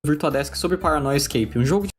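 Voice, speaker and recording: Fast-talking Brazilian man narrating. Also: on the high side, husky. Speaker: video essay narrator. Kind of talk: scripted narration with informal asides.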